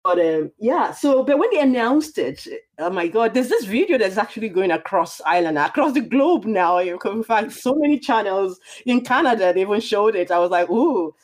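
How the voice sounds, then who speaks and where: Animated Nigerian woman in conversation. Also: medium pitch, moderate pace, few pauses. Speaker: podcast guest. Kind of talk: conversational interview.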